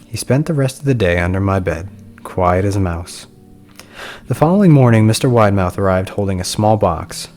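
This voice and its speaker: A man's voice: fairly low pitch, lively intonation, normal speed. A man reading a scripted first-person horror story as its narrator. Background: soft music.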